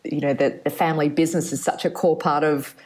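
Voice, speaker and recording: Low-voiced woman podcast host. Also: flat delivery, fast talker, a little mellow. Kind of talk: interview questions.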